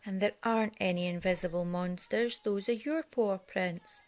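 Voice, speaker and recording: A woman voicing a calm, kindly grown-up male mouse in a children's picture book, patient and reassuring. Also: medium pitch, moderate pace, close to an everyday voice.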